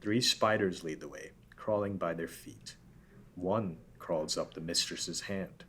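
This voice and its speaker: Low-pitched man reading screenplay directions. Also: measured pace, bright, forceful tone. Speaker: narrator. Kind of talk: scripted narration, screenplay stage directions. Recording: background room noise.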